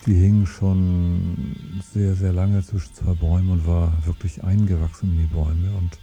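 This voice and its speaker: A man's voice: sits low, little pitch movement, normal speed, mellow, faint music behind. A man telling a casual, unscripted memory from his childhood, thoughtful and a little hesitant, with small fillers. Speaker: interviewee recalling childhood. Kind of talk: casual spoken recollection.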